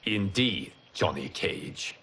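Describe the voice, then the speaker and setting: An actor playing a solemn, commanding fire god in a video game, speaking in a formal, measured, grave manner. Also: male voice, low-pitched, expressive, sharp tone, a little hiss or hum, exaggerated voice. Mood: calm, assured. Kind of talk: cinematic video game dialogue.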